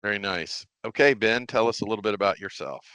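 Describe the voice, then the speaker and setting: A man's voice: on the low side, quick pace, sharp tone, smooth, no room sound, low-quality recording. A man hosting a podcast in a casual interview style. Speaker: podcast host.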